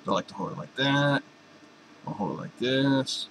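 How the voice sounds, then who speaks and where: A man's voice: medium pitch, lively intonation, normal speed, fairly bright tone, a little hiss or hum. A man in a home workshop explaining a welding torch swap in a casual, joking, folksy way. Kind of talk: casual workshop how-to narration.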